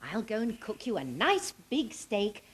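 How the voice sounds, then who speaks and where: High-pitched, fretful English sitcom housewife. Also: female voice, very animated, unhurried, husky, heavily acted. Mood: flustered, pleading. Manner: theatrical, flustered, emotive. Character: fretful, doting middle-class English housewife, flustered and wheedling. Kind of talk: TV sitcom acting. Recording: faintly noisy old TV sitcom copy.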